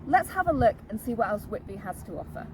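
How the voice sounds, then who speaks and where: A woman's voice: medium pitch, sing-song, quick pace. Woman doing outdoor travel vlog, theatrical storyteller, really noisy street sound behind her. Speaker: presenter, audio drama writer and director. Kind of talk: on-location travel presenting. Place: outdoors in a seaside town. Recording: loud outdoor noise, traffic, sometimes distant.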